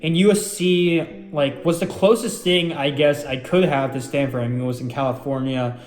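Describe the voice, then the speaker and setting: Chatty teen guy, slightly high. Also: steady tone, moderate pace. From United States. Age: late teens. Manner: rambling, filler-heavy, self-deprecating. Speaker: YouTuber answering viewer questions. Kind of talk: casual Q&A chat. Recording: roomy room with background music.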